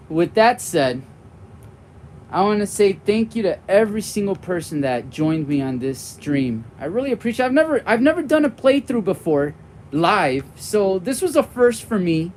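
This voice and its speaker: A man's voice: high-pitched, slight background noise. A man in his forties with a young-sounding voice, chatting casually and rambling on tangents during a live board game stream. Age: 40s. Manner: warm and friendly toward viewers.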